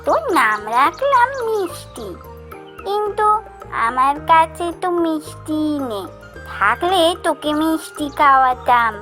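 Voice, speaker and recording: Casting: sweet little village girl, very high voice, unhurried, pleading and affectionate, cartoon with music underneath. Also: big pitch swings, bright, forceful tone, exaggerated voice. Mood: delighted. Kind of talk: cartoon voice acting.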